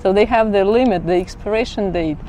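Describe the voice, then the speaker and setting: A woman's voice: mid-range voice, brisk, warm tone, a little hiss or hum, poor audio. A woman from Kazakhstan, chatting casually as a vlogger, often laughing and correcting herself. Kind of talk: casual explainer chat.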